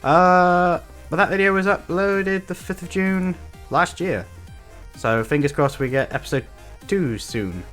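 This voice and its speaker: A man's voice: high voice, unhurried, fairly bright tone, soft background music. A man hosting a reaction video with casual commentary.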